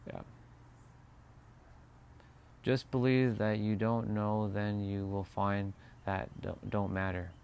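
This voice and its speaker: A man's voice: lowish voice, little pitch movement, slow, vocal fry, pauses often, darkish tone, slight background noise. A man hosting a casual livestream, musing aloud on spiritual ideas and answering viewers in chat, with loose, offhand swearing. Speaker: livestream host.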